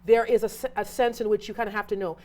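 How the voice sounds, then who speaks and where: A woman's voice: mid-range voice, fairly even intonation, quick pace, warm tone. Casting: woman reverend from Trinidad, earnest, reflective, conversational interview guest. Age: adult who arrived as a child over thirty years ago. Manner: reflective, earnest, frequent restarts.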